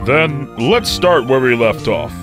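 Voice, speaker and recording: A man playing a ponderous, easily puzzled judge, formal and deliberate, in a courtroom game fandub. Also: medium pitch, expressive. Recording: music behind the voice.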